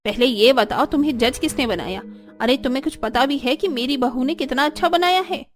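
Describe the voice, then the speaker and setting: A woman's voice: high-pitched, fairly quick, natural voice. Woman voicing a haughty scolding mother-in-law, cartoon drama. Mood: indignant, scolding. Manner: haranguing, indignant, rhetorical questions. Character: arrogant scolding older mother-in-law, sharp-tempered, status-obsessed. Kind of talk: Hindi cartoon story voice acting.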